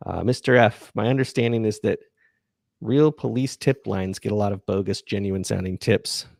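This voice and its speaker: Low, creaky-voiced man chatting casually. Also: moderate pace, darkish tone, dry recording, clean studio sound. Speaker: podcast creator and livestream host. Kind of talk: casual chat, answering listener questions.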